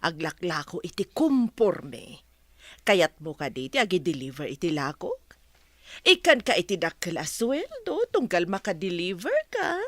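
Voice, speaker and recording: An actress playing a brisk woman who offers delivery work, in a very lively Ilocano audio drama voice. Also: fairly low pitch, no room sound. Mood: persuading. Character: brisk woman recruiting a courier. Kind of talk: Ilocano radio drama acting.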